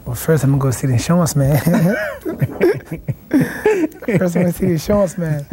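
A young man, a boxing champion answering in a TV studio interview, halting and restarting his words. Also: a little high, dark tone, a little hiss or hum. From South Carolina, raised in Washington, D.C. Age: young adult. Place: TV studio set.

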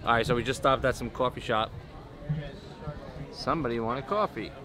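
A man's voice: medium pitch, moderate pace, music in the background. A man in his thirties chatting casually as a family vlogger, to the camera and to a pet. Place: mostly outdoors at a marina and patio, later garage and home. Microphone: camera held at arm's length. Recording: clear background noise, handheld camera outdoors.

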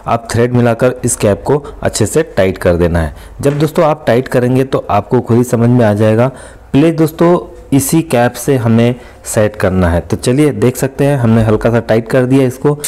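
A man's voice: mid-range voice, warm tone. A man hosting a step-by-step machine repair tutorial from an excavator cab, talking the viewer through each step in a friendly instructional way. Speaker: mechanic and channel host. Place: excavator cab, outdoors work site.

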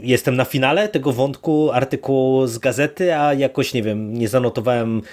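A man co-hosting a podcast, casually discussing a review in a halting way as he searches for words. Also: medium pitch, a little mellow. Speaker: podcast co-host. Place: virtual studio, remote recording.

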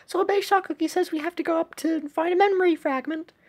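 A woman's voice: very high-pitched, steady tone, fast talker, a little mellow, pitched above the performer's own voice. A woman voicing a small, timid, helpful young cookie from a mobile game, earnest and cutesy in an amateur read-aloud.

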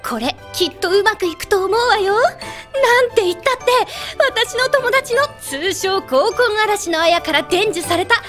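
A woman's voice: extremely high pitch, animated, normal speed, fairly bright tone, faint music behind. A woman playing a breezy, playful, chatty big-sister type idol in an anime audio drama. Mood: upbeat, persuasive.

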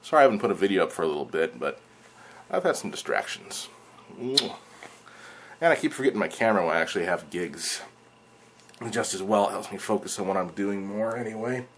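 A man's voice: lowish voice, fairly quick, long pauses. A young man talking casually and a little hesitantly, explaining things to camera in a home vlog. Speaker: video creator, hobbyist presenter. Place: living room indoors. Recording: clear steady background noise.

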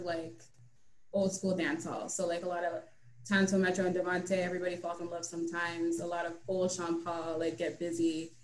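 A young adult woman, an author chatting casually as a guest on an online book talk. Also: lowish voice. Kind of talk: casual chat. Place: indoor room, on a video call. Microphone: computer mic on a video call. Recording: roomy, echoing video call.

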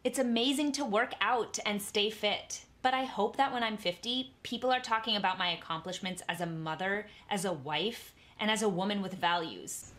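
A woman in her twenties giving earnest, measured advice straight to the camera from a room at home. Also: mid-range voice, a bit bright. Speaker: YouTuber in clips. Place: small home room, indoors.

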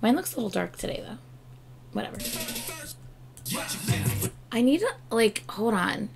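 A woman in her thirties, hoarse from losing her voice, chatting excitedly in a casual reaction vlog at her desk at home. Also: on the high side, a little slow, music in the background. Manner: excitable, chatty, gushing with laughs and exclamations. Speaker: K-pop reaction YouTuber. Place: home room with shelves of albums and collectibles, indoors. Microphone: webcam framing at desk.

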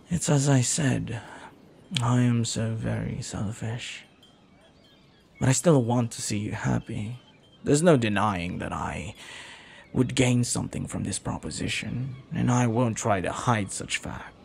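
A man playing a flirty, lovelorn pirate captain in a romantic audio roleplay, speaking softly and earnestly with hesitant, lingering pauses. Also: mid-range voice, gravelly, a little hiss or hum.

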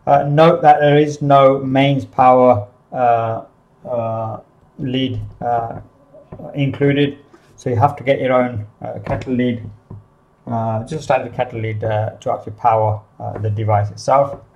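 A man giving an unscripted product overview in a small office, explaining things in a halting, hesitant way. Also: medium pitch, steady tone, gravelly, a little mellow, lo-fi sound. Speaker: product demonstrator for a CCTV supplier.

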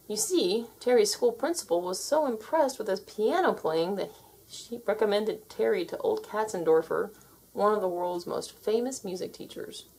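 A woman reading a children's story aloud in an expressive, scripted narrating style. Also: medium pitch, vocal fry, breathy edge. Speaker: storybook reader.

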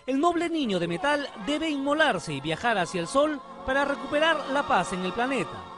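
A Peruvian man narrates a television feature with dramatic emphasis. His voice is high and swings widely in pitch as he tells the story theatrically. Music plays behind him. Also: sing-song, moderate pace. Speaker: TV reporter.

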